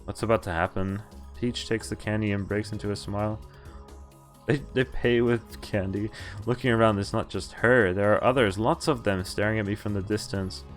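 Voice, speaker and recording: Male streamer, low voice, reads visual novel text aloud with offhand reactions; faint music bed. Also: steady tone. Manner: plain reading voice broken by quick reactions and chuckles. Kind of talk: reading game text aloud with casual commentary. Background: faint game music.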